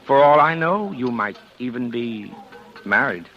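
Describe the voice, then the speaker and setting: A man's voice: mid-range voice, animated, measured pace, faint music behind. An actor playing a guarded, well-off bachelor wooing a woman in a 1940s radio drama, casual and evasive. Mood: confessional, tender. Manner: casual, evasive, courting endearments. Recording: dull vintage 1940s radio recording.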